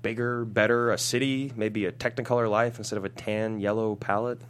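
A man's voice: on the low side, flat delivery, a little fast, a bit bright, a little hiss or hum, lightly acted. A man playing a cocky, sarcastic runaway rock musician at a script table read, with wry delivery. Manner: wry, sardonic.

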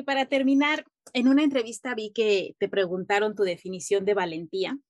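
A woman's voice: medium pitch, no echo, studio quality. A woman hosting an interview podcast, friendly and encouraging, asking long questions. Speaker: podcast host.

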